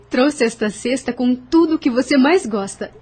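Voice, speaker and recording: A woman acts out a gentle young wife and mother in a radio novela. Her voice is high, with a husky, breathy edge. She speaks with a Brazilian accent and a loving temper. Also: lively intonation, slow pace, heavily acted. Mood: tender, playful.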